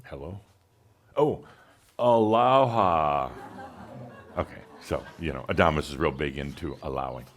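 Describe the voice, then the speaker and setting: A man with a very low voice hosts a gathering in an island pavilion. He talks in an easygoing, chatty way, with plenty of fillers and chuckles. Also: a little slow, pauses often. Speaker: host. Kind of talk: casual welcome talk to a live group. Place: open pavilion on a Hawaiian island.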